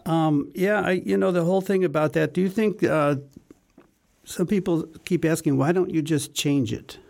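A man's voice: fairly high pitch, normal speed, vocal fry, a little mellow. A man hosting a casual political discussion over a video call, wry and given to ranting. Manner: wry, self-aware ranting. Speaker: radio show host.

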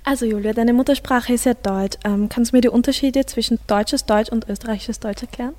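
A woman's voice: a little high, steady tone, a little fast, dark tone. A teenage girl, answering casually and a bit hesitantly on a school radio show. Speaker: student.

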